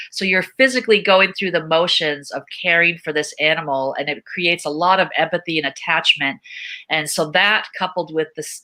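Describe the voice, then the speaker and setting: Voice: mid-range voice, bright, forceful tone. A panel speaker explaining projects in an explanatory style. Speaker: panel speaker from a tech company funding VR projects.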